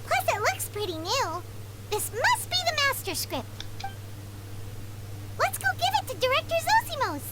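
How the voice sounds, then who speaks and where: Squeaky, chirpy little sprite. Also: female voice, very animated, moderate pace, pauses often, heavy background noise. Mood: eager. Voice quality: very high, squeaky and bouncy. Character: tiny chirpy female companion sprite, curious. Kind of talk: game voice acting. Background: game music.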